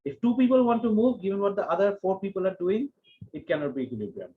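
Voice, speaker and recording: Brief: male lecturer, high-pitched, methodical, repeats key phrases over a dull call line. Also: lively intonation. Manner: explains step by step, repeating key phrases slowly. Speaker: economics lecturer. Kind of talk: online university lecture.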